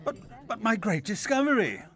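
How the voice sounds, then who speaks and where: A man as flustered, high-voiced stammering inventor. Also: big pitch swings, moderate pace, a bit bright. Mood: pleading. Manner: hesitant, stammering, pleading. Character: flustered, earnest male inventor, stammering and apologetic. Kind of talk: audio play acting.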